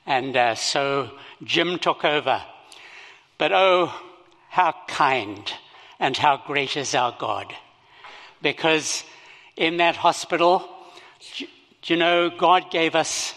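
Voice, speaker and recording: An older man with a Zimbabwean accent, speaking as a missionary at a church service, slow and halting with many fillers. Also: fairly high pitch, sharp tone, in a large hall. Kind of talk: sermon.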